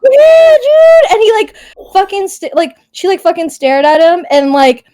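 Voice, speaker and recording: A woman's voice: very high-pitched, a little slow, mellow. A young woman in casual chat, rambling with lots of "like" and casual swearing. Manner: rambling, heavy "like", swears freely. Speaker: podcast co-host.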